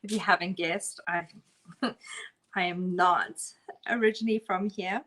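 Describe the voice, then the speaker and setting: A middle-aged South African woman leading a live painting tutorial, chatting with viewers, laughing often and dropping to soft murmurs as she works. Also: fairly low pitch, steady tone, unhurried. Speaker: art instructor.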